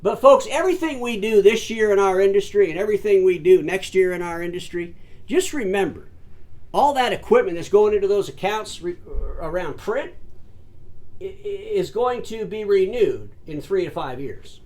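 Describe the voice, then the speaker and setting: A man hosting his own industry commentary show, talking straight to his audience in an emphatic way, calling them folks and ladies and gentlemen, often repeating words and restarting sentences. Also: sits high, animated, moderate pace. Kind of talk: solo commentary to camera.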